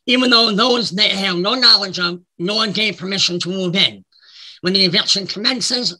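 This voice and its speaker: A man's voice: high-pitched, pushed and bright, slightly rough, poor audio. A middle-aged American man with a cold and a speech impediment, reading formal prepared testimony over a video call. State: has a cold. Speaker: witness giving testimony. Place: indoor room, on a video call.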